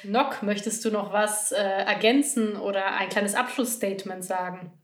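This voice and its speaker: A woman's voice: medium pitch, normal speed. A woman moderating a panel talk, friendly and wrapping things up.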